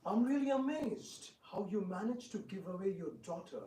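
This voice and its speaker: A man playing a sly, flattering, scheming visiting king in an amateur dramatic reading, with theatrical, drawn-out phrasing. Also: sits high. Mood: mock reproving. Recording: echoey room, distant mic, faint noise.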